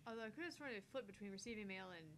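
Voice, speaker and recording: A woman's voice: medium pitch, expressive, racing, fairly bright tone, a little hiss or hum. A woman chatting casually as co-host of a game playthrough. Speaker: gaming channel co-host.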